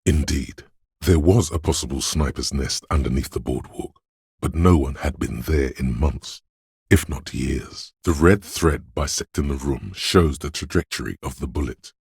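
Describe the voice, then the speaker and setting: A man voicing an analytical inner voice in a video game, narrating in a measured, dryly deadpan and precise way. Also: very deep voice, vocal fry, slightly rough, no room sound, studio quality, exaggerated voice. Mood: clinical, precise. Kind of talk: scripted game narration, second person.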